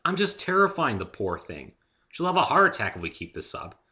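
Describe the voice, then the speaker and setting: A kindly, careful man in a story, acted by the male reader. He speaks with a bright edge and animated inflection, gentle, wary and quick to apologize. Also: medium pitch, pitched above the performer's own voice. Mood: wary, exasperated. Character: wary, kindly human man among ponies. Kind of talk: dramatic reading of fan fiction.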